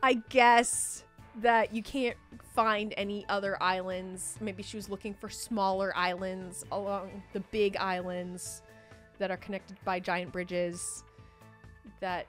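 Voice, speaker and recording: A woman giving casual, animated let's play commentary with a co-host, swearing freely. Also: medium pitch, takes it slowly, faint music behind.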